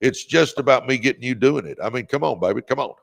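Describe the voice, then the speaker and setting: Booming, gravelly motivational man. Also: fast talker. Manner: energetic, repetitive pep talk. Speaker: podcast host. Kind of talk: live-stream motivational talk.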